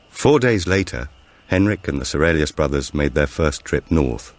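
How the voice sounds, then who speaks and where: A man reading a thriller audiobook as narrator, in a dramatic scripted storytelling style. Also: extremely low pitch.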